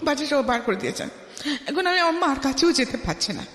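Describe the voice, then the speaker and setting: Middle-aged Bangladeshi female guest, high voice, emphatic personal storytelling, recorded with long reverb. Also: sing-song, brisk, a bit bright. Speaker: guest telling her life story. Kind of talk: personal storytelling in a radio interview. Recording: echoey room with long reverb.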